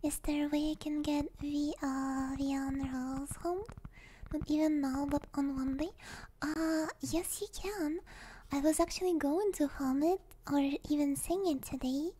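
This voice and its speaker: Very high, soft young woman, native Russian speaker. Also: steady tone, measured pace, poor audio. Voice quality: soft, often dropping into a whisper. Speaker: ASMR streamer. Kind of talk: soft ASMR livestream chat. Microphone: close binaural microphone.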